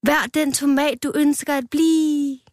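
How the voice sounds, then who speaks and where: Woman as a high, earnest little chewing gum. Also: steady tone, a little mellow, dry recording, clean studio sound. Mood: hopeful, calling out. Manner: earnest, declaiming. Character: small hopeful male chewing gum, eager, easily saddened.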